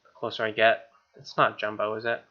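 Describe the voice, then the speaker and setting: Bright-voiced American man reading aloud. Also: lowish voice, completely flat delivery, brisk, muffled recording. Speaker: gaming video host. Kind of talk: reading a visual novel aloud with casual reactions. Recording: game music behind.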